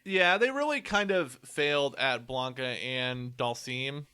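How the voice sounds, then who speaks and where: A man's voice: medium pitch, unhurried, sharp tone, smooth. A man co-hosting a gaming podcast, talking in casual chat. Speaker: podcast co-host.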